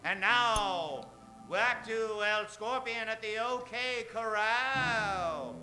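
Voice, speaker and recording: A man's voice: high voice, very slow, sharp tone, faint music behind, full character voice. A man playing a suspenseful, theatrical announcer narrating an old-time radio serial.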